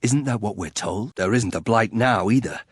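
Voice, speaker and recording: An actor playing a proud, brooding young nobleman archer in a video game, husky, with a terse, wry, guarded manner. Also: fairly low pitch, normal speed, dry recording, studio quality. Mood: somber, resolute. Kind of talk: video game character acting.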